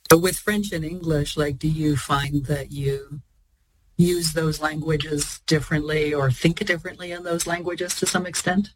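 Husky-voiced podcast interviewer, a woman. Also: low-pitched, fairly even intonation, normal speed, no room sound, low-quality recording. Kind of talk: scripted introduction and interview questions.

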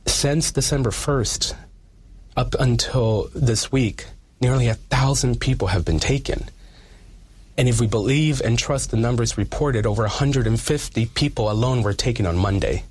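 A man with a mid-pitched, husky voice speaks as a community advocate in an interview. He is earnest and emphatic, often repeating short phrases to drive the point home. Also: normal speed. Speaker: community activist giving an interview.

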